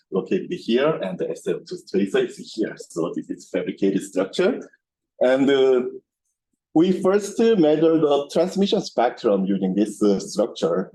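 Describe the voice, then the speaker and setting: A man giving an academic lecture as a researcher at a seminar, speaking haltingly and repetitively with non-native English phrasing. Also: lowish voice, low-quality recording. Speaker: researcher presenting at a scientific seminar. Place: seminar room with projection screen. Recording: bright room recording with background noise.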